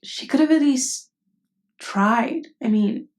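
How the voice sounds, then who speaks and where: A woman's voice: high voice, slow pace. A woman voice acting a caring, indignant best friend in an intimate ASMR roleplay, speaking casually and bluntly. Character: protective, indignant female best friend comforting someone. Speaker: voice actress.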